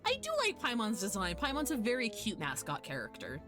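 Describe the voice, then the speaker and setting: A woman's voice: medium pitch, wildly swooping pitch, a little fast. A woman livestreaming a game, giving casual, rambling, emphatic commentary and often repeating her phrases. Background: game music.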